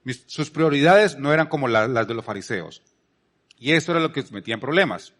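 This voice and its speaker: A man's voice: medium pitch, animated, brisk, fairly bright tone. A man from Colombia with a Colombian accent, giving a conversational sermon as a preacher, repeating phrases for emphasis and posing rhetorical questions. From Palmira, Colombia.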